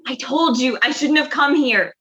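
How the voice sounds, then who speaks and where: Woman playing a high, sharp, flustered officer. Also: moderate pace, breathy edge, full character voice. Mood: agitated, evasive. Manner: agitated, curt, evasive. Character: flustered, defensive female officer late for duty. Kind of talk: sci-fi comedy radio acting. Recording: dull lo-fi audio, some noise.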